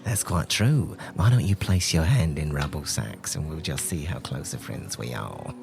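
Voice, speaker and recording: A man's voice: extremely low pitch, expressive, moderate pace, vocal fry, over music, exaggerated voice. A man playing a sly, genial thief with florid, mock-courtly speech in an improvised tabletop game. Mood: smooth, courtly. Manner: mock-courtly, effusive, evasive.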